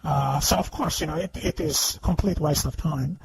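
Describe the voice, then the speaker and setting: A man giving unscripted, argumentative commentary in a halting but emphatic way, repeatedly seeking agreement. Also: on the high side, creaky. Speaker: online video commentator. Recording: faint background noise.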